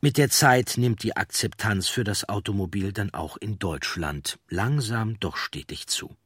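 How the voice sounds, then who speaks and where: A man reading scripted documentary narration with measured, storytelling delivery and a husky voice. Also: fairly low pitch, a bit bright, dry recording, clean studio sound.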